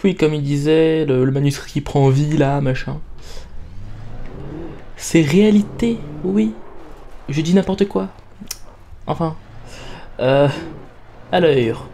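A man's voice: a little high, warm tone. A young man giving casual gameplay commentary in a playful, self-mocking way. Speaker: let's play gamer.

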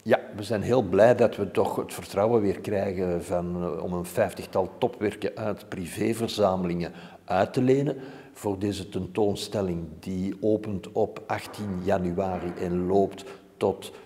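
A man's voice: sits low, steady tone, unhurried, warm tone. A man in his sixties with a Flemish accent, giving informative interview answers as a curator in a reverberant museum room. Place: indoor museum room hung with framed art. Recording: hollow room with long reverb.

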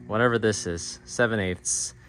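A man's voice: fairly low pitch, normal speed, loud music behind the voice, poor audio. A middle-aged man giving casual how-to narration outdoors, informally thinking aloud as he explains. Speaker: DIY vlogger. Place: outdoors in a residential driveway. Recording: clear background noise with clicks.

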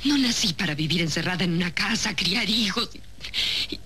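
Ambitious young soap woman, dramatic and deliberate, sharp bright voice, noisy old tv audio. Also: on the low side, animated. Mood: anguished. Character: ambitious, cold young woman from a humble neighbourhood. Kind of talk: 1970s television soap opera acting. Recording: noisy old 1970s TV soundtrack.

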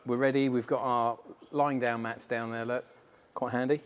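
A man's voice: medium pitch, flat delivery, normal speed, a little hiss or hum. A man in his forties, co-hosting a van build and explaining the fitting in an easygoing, practical way, in a large indoor workshop. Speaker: van conversion co-host. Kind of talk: casual workshop chat.

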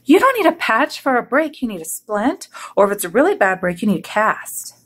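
Lady doing a bossy boy hippo from a storybook, high and urgent, barking orders. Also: big pitch swings, brisk, a little hiss or hum. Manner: urgent, exclaiming. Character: bossy, alarmed male hippo.

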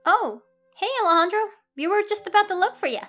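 A tiny, cheerful star creature from a cartoon dub, played by a woman. It has a very high, childlike voice and a chirpy, casual way of talking, over background music. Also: animated, fast, sharp tone, heavily acted. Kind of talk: animated comic dub acting.

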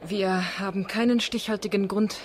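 A woman's voice: medium pitch, steady tone, normal speed, a little hiss or hum, close to an everyday voice. Actress doing young woman defence barrister, radio drama, earnest, insistent, very proper. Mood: troubled, resolute. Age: young adult. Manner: formal, insistent courtroom pleading.

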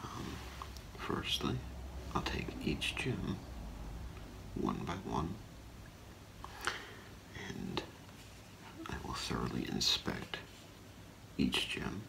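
Slow, whispering middle-aged man, ASMR role play. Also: extremely low pitch, very animated, vocal fry, low-quality recording. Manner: hesitant, halting, softly reassuring. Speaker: ASMR performer role-playing a gemologist. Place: small indoor room with curtain.

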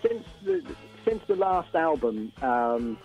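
A man's voice: on the high side, wildly swooping pitch, takes it slowly, lo-fi sound, faint music behind. A man chatting casually as an interview guest, a musician who often repeats words as he feels his way. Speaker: interview guest, band singer and songwriter. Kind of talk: casual chat.

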